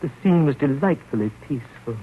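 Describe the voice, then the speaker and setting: An actor playing a fussy, nervous, proper gentleman in 1930s radio drama style, with a prim, halting delivery and habitual throat-clearing. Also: mid-range voice, animated, close to an everyday voice. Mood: polite, uneasy. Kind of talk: 1930s radio drama acting, first-person narration. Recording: muffled, noisy old radio broadcast.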